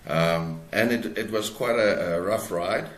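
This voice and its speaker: A man in his forties with a South African accent, talking reflectively as an interview guest in a hesitant, halting way. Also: lowish voice, echoey room. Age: 40s. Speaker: guest author.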